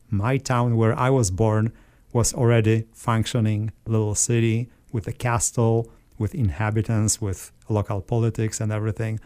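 A man from Poland with a Polish accent, a guest organist calmly explaining things in a radio interview. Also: fairly low pitch.

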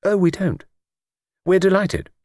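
A man's voice: high-pitched, animated, unhurried, a little mellow, no echo, studio quality, voice pushed up. A man voicing a self-possessed young English woman in an audiobook, with a poised, sociable, gracious hostess manner. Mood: brisk, welcoming. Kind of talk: audiobook character dialogue.

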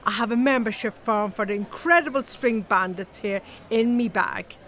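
An actress playing a blunt, chatty, gossipy Irish animal-rights activist with an earthy colloquial manner in a comedy audio drama. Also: female voice, on the high side, normal speed, fairly bright tone, lightly acted. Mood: pushy, eager.

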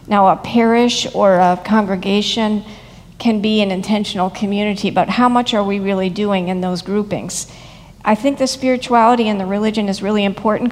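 A woman speaking earnestly and in a measured way on a discussion panel. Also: mid-range voice, fairly even intonation. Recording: long hall reverb.

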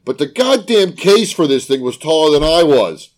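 A man's voice: a little high, normal speed. Man with a smoker's voice, swearing freely. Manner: swears freely, animated storytelling. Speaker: podcast host. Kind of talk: casual podcast chat.

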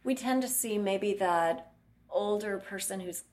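A woman's voice: medium pitch, measured pace, darkish tone. Blunt, explanatory woman clinician. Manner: blunt, explanatory, acts out patient quotes. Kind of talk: interview answers.

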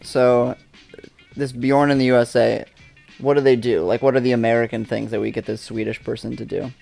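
A man with a gravelly, fry-laden voice co-hosts a loose comedy podcast. He pokes at his guests with teasing, needling questions. Faint music sits under the conversation. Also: medium pitch, little pitch movement, brisk, darkish tone. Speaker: podcast co-host. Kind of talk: casual podcast banter.

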